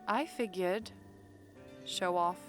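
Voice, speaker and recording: A woman's voice: fairly low pitch, slow, faint music behind. A woman playing a playful, bossy young princess in an audio drama, teasing and commanding. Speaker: voice actor.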